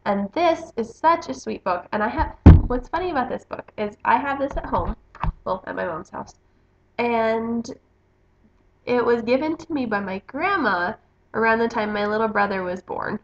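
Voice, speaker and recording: High-voiced woman reading a picture book. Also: expressive, normal speed, background noise. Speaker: book vlogger reading aloud. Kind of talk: picture-book read-aloud with casual chat. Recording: slightly dull, roomy home recording.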